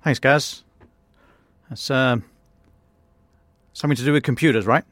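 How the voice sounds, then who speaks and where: A man hosting a podcast, interviewing informally and circling haltingly into his questions. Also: medium pitch, a bit bright, no echo. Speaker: podcast host, defence editor.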